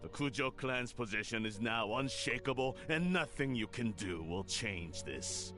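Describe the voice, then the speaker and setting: An actor playing a scheming older clan head who speaks in a haughty, condescending way, in a video game. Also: male voice, medium pitch, animated, measured pace, music in the background, natural voice. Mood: scornful.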